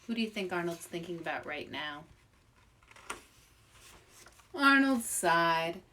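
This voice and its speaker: A woman reading aloud at children's story time as an expressive, dramatic storyteller. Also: on the low side, moderate pace, far from the mic, background noise. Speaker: author reading aloud, host.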